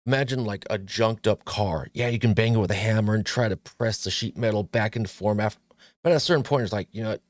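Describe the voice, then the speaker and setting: Guy with a lowish voice rambling about movies, jokey, stops and restarts a lot, dry close sound. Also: fast talker, dry recording. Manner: joking, rambling, frequent restarts and fillers. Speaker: movie talk show host. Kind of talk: casual movie commentary.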